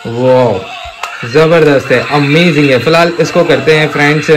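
An excitable man, presenting toys hands-on to viewers in an enthusiastic, repetitive way full of amazed exclamations. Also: fairly high pitch, moderate pace, noisy background. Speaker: toy review presenter. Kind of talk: enthusiastic hands-on toy showcase, addressing viewers as friends.

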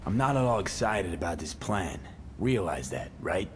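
Young street mercenary, low voice, guarded, tough, loyal, short replies, video game, slight background noise. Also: male voice, very animated, moderate pace. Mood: wary.

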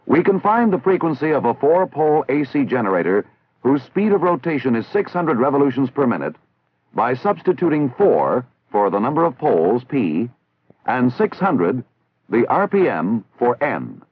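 Casting: American man for vintage army instructional narration, measured and didactic with animated intonation, through tinny old film audio. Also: medium pitch, husky, no room sound. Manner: formal, measured, didactic enunciation. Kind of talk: scripted instructional narration, 1960s military training film. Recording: tinny, band-limited 1960s film soundtrack.

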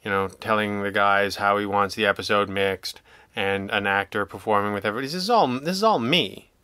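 A man talking casually as an interview guest, in a rambling, halting way, emphatic in his opinions. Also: fairly low pitch, bright, forceful tone, clear, resonant tone, dry recording. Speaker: podcast guest, audio drama writer and producer.

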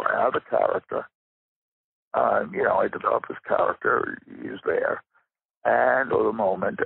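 An older man giving a reflective interview as a guest, with a gravelly, husky voice and deliberate, halting phrasing. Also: mid-range voice, dry recording. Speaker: interview guest, writer and rare book dealer. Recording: thin phone-like line.

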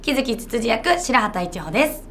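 A woman's voice: fairly high pitch, expressive, normal speed, fairly bright tone, slight background noise. A young adult woman, a voice actor guest talking casually in a promotional chat. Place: small indoor room.

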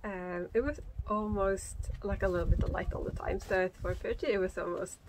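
A woman's voice: medium pitch, steady tone, brisk, noisy background. A woman in her thirties, a native Swedish speaker, chatting casually to the camera from a sailboat cabin and thinking aloud with many fillers. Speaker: sailing vlogger. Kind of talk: casual vlog talk to camera.

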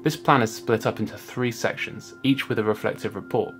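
A man's voice: on the low side, steady tone, a little fast, fairly bright tone, faint music behind. A man in his thirties giving a scripted explainer to camera as a student presenting his project. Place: small indoor room at home.

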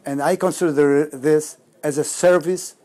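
A man in his fifties with a Polish accent, speaking haltingly to camera with many fillers and restarts in a campaign video recorded indoors. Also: on the high side, steady tone, mellow. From Poland. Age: 50s. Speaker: parliamentary candidate. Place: indoor campaign office before a banner.

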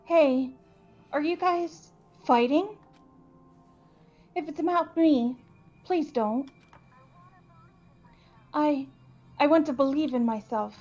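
A woman voicing a gentle, timid but determined boyish AI program in a game fan dub, earnest and hesitant. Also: high-pitched, takes it slowly, pauses often. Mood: pleading. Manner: hesitant, earnest, soft-spoken pleading. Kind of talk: visual novel fan dub voice acting. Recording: slightly dull, band-limited, music behind.

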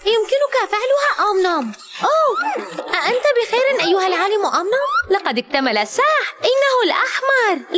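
Very high-pitched, lively woman narrating for children. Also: slight background noise. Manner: exclamatory, questioning, talking to the character and child viewers. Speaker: narrator of a children's learning cartoon. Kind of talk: animated narration for young children.

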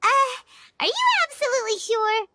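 A woman voicing a tiny, childlike, excitable fairy companion in a video game, squeaky, inquisitive and exclamatory. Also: a little fast, heavy background noise. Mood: curious. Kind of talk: cartoonish video game acting.

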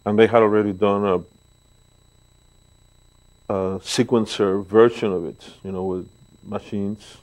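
A man in his sixties with a Mexican Spanish accent speaks in a very low, deep voice. He tells stories as an interview guest, pausing on fillers, seated in a living room with a lapel mic. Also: fairly even intonation, poor audio. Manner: warm, storytelling, pauses with fillers. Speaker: guest bass player. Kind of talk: relaxed interview talk.

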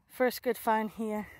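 A woman in her thirties, an excited and chatty metal detecting vlogger, talking casually to camera outdoors in an open farm field. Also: a little high, dry recording. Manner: excited, chatty, self-correcting. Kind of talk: casual outdoor vlog narration. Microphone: handheld or body camera close up.

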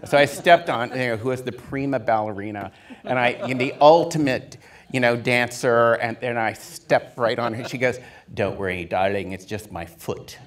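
A man's voice: mid-range voice, moderate pace. A man in his fifties, a production designer on a stage panel, telling stories with many fillers and restarts into a handheld mic. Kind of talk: casual storytelling on a panel. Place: theater stage before an audience.

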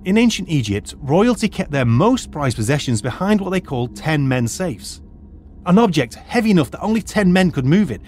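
A man's voice: on the high side, big pitch swings, moderate pace, darkish tone. A man playing a middle-aged retired archaeologist turned teacher who lays things out in a precise, lecturing way. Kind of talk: audio drama acting.

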